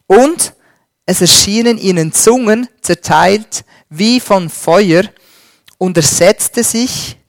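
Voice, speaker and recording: A man preaching a sermon and reading scripture, slow and emphatic, swinging between loud stresses and a dropped voice. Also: sits high, no room sound, studio quality.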